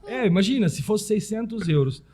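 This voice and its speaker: Mellow, husky Brazilian man chatting. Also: sits high, lively intonation, brisk. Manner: informal, anecdotal, peppered with tag questions. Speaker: podcast guest, Italian citizenship specialist. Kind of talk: casual conversational interview.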